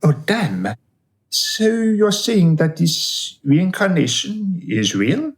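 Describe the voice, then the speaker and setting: A man's voice: high voice, sing-song, takes it slowly, heavily acted. An actor playing a dead, unrepentant killer in a radio play, matter-of-fact and darkly relishing his past. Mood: wary, matter-of-fact. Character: dead male killer, coolly unrepentant, facing reincarnation.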